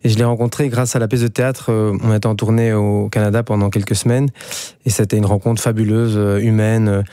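A man in his thirties from Belgium speaks with a Belgian French accent in a radio interview. His voice is mellow and relaxed, and the sound is close and dry. He hesitates often, with fillers and restarts. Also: lowish voice, fairly quick, clean tone, dry recording. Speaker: musician guest.

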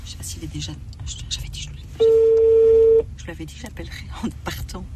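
A woman's voice: on the low side, brisk. Chatty Belgian woman outdoors. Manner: lively, laughs easily, hesitant fillers. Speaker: interviewee. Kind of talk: casual conversation. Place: outdoors in a town square. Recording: noisy outdoor street recording.

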